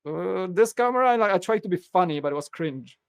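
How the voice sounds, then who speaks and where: A man from Poland with a Polish accent, a guest in a casual podcast interview, telling stories in a lively way. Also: sits high, quick pace, creaky, clean tone, no echo. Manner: animated storytelling, acts out quotes.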